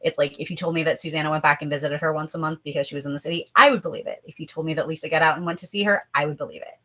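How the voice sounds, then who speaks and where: Casual panelist, woman, low-pitched, emphatic and opinionated, dull lo-fi recording. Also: steady tone, racing, pushed and bright. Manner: emphatic, opinionated, talking in numbered points. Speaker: table read performer. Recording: dull, lo-fi audio.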